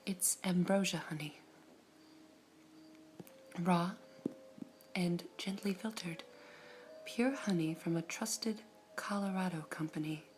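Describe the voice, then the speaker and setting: Woman doing a composed female gem hostess, soft ASMR whisper, slightly low, polite and formal, serving tea. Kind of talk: ASMR roleplay.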